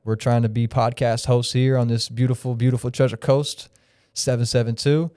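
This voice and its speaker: Mellow, smooth-voiced man in his thirties. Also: medium pitch, flat delivery, moderate pace, no echo, clean studio sound. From Florida. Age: 30s. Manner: rambling, reflective, freewheeling. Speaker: podcast guest. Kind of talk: casual podcast chat.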